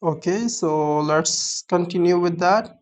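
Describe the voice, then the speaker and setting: A young man from Pakistan with a Pakistani accent and native Urdu, talking through an app setup in a halting, explanatory way. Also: sits high, a little mellow. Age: young adult. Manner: halting, explanatory, starts many phrases with "so". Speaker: app reviewer. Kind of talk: screen-recorded app tutorial.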